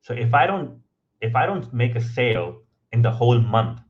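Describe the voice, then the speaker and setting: A man speaking English as a second language, guesting on a podcast and telling his story in a relaxed, conversational way, with many ums and "right?" tags. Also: medium pitch, moderate pace, dull audio. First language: not English. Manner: frequent ums and restarts, tag questions of "right?".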